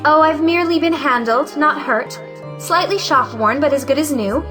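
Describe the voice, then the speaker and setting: Young unmarried heroine, female actor, period audio play: high bright voice, earnest, outspoken, music faint behind. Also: normal speed. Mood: bitterly frank. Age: young adult. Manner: earnest, plaintive, articulate. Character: defiant, frank young unmarried woman of means. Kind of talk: theatrical audio drama acting of a 1917 play.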